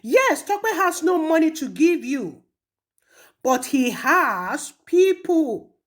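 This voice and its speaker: A woman with a Nigerian Yoruba accent, speaking accusingly and emphatically in an impassioned public rebuke, with pointed pauses. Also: high voice, sing-song. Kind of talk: impassioned public rebuke, switching between languages.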